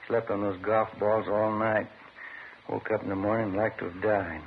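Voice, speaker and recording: A man acting as a weary, grumbling married police detective in a 1950s radio crime drama, with a folksy deadpan delivery. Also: on the low side, moderate pace, vocal fry. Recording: muffled, faintly hissy vintage radio recording.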